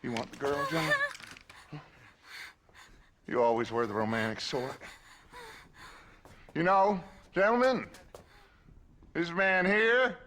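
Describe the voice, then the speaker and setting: Slow, taunting outlaw gang leader. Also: male voice, a little high, fairly bright tone, a little hiss or hum. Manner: slow, mocking, grandiose. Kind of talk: video game cutscene acting.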